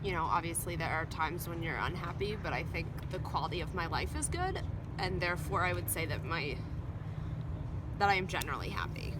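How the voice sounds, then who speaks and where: A woman's voice: lowish voice, lively intonation, a little fast, very noisy. A young woman from Connecticut, an art student, giving thoughtful, hedged interview answers. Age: young adult. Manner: thoughtful, hedging with "I think".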